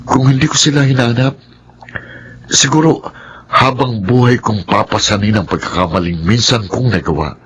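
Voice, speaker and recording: An actor with a Filipino accent playing a remorseful husband who talks in an earnest, halting way, in a radio drama. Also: male voice, mid-range voice, slightly rough. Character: remorseful middle-aged husband seeking forgiveness. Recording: radio drama with soft music.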